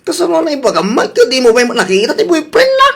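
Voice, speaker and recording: Woman acting very high, gossipy Ilocano young man. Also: huge pitch swings, quick pace, gravelly, exaggerated voice. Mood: gossipy, mocking. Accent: Ilocano Filipino. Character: giggly, teasing, gossipy young male friend. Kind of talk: comedy radio drama acting.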